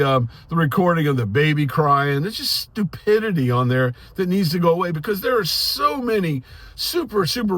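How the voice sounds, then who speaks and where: Higher-voiced older American man, earnest and plainspoken. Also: fairly bright tone, no room sound. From United States. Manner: plainspoken, earnest, halting with repeated words. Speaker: amateur radio hobbyist giving commentary. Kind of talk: informal opinion monologue to camera.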